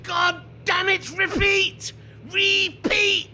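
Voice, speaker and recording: A man's voice: level tone, extremely slow, exaggerated voice. A man playing a bloodthirsty, hot-tempered, pompous British army major in a radio play, barking orders. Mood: frantic, furious. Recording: noisy, with vehicle rumble behind. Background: vehicle engine noise.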